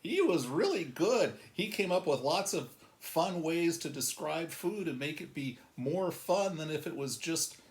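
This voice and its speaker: A man's voice: fairly high pitch, sing-song, measured pace, few pauses. A man voicing an agreeable, eager young storytime sidekick, talking simply and hesitantly and repeating words. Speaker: storytime co-host.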